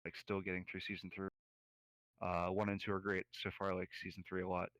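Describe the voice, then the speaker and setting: A man's voice: low-pitched, completely flat delivery, fairly quick, fairly bright tone, no room sound, poor audio. A man from the United States co-hosting a casual podcast, rambling with lots of fillers and "like"s. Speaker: podcast co-host.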